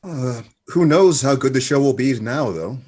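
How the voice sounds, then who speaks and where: Man playing a flustered, husky radio host. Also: medium pitch, expressive, moderate pace, darkish tone, close to an everyday voice. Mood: hurt. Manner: stammering, hesitant. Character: flustered, earnest, wounded male morning radio host. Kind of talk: comedy radio play acting.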